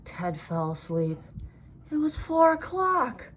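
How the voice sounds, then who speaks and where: Female storybook narrator for kids: fairly high voice, very lively intonation, expressive read-aloud. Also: takes it slowly, slight background noise. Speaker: storybook reader.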